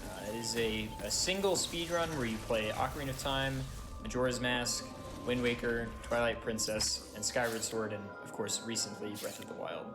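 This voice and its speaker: A man's voice: medium pitch, normal speed, few pauses, over music. A man hosting a speedrunning stream, chatting casually with a guest and answering questions from chat. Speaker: show host.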